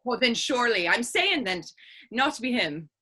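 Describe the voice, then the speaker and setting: A woman plays a quick-witted Irish lass in a stage play. Her voice is high and sharp, with lively swoops. She is saucy and challenging, trading folksy retorts. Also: slightly rough, heavily acted. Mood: inquisitive. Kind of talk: stage readers theater dialogue.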